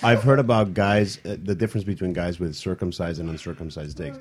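A man's voice: low-pitched, steady tone, a little fast. A man co-hosting a radio show, chatting casually and joking along a little sheepishly. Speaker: radio co-host. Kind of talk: casual panel chat.

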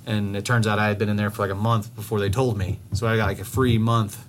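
A man co-hosting a podcast in casual chat, rambling with many restarts, swearing freely and getting animated as he tells stories. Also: fairly low pitch, quick pace, slight background noise. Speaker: podcast co-host.

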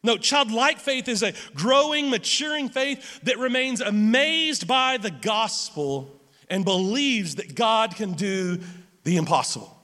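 A man preaching a sermon as pastor, with a loud voice, often stumbling over and repeating his words as he goes. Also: high voice, measured pace. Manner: stammering restarts, animated and repetitive. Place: church sanctuary. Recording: long hall reverb.

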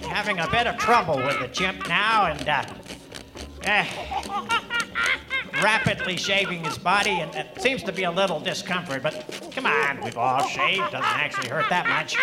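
A man's voice: sits high, sing-song, moderate pace, loud music behind the voice. A man playing a brash, glib showman of a wildlife field reporter in a mock live radio broadcast. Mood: excited, gleeful. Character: Buck Logic, excitable brash male field reporter of a wildlife show.

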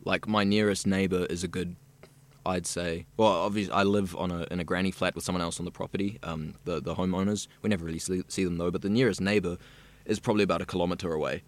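Deep-voiced young man, chatty and rambling. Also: fairly even intonation, quick pace. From South Africa. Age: young adult. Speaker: radio student guest. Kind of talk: casual interview chat.

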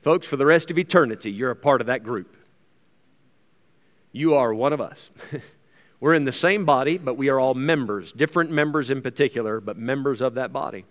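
A man's voice: mid-range voice, normal speed, dull audio. An American man preaching a sermon in a conversational way, with rhetorical questions and asides, reading from scripture. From United States. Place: large reverberant room, preaching to a congregation. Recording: long hall reverb.

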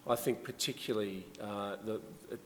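A man with a lowish voice talks through his views in a relaxed, rambling way. The sound carries a long hall reverb and faint noise behind it. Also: normal speed. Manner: relaxed, conversational, frequent fillers. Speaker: journalist and essayist on panel. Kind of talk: panel discussion.

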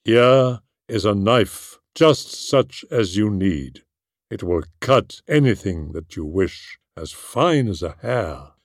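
Man acting a young lord's son, eager, earnest, generous, declamatory, slow-paced, audiobook storytelling. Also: on the low side, expressive, dry recording, clean studio sound, heavily acted. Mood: eager, proud. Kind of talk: audiobook character voice.

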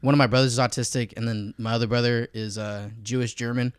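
A man's voice: mid-range voice, level tone, fast talker, smooth, no echo. A man in casual podcast chat, rambling through stories with lots of "like" between words. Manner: rambling storyteller, heavy "like" filler. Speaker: podcast co-host or guest.

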